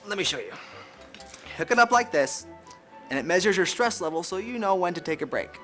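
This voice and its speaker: A performer voicing a personified university in a jokey ad skit, chummy and quick. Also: mid-range voice, very animated, a bit bright, faint music behind. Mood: smug.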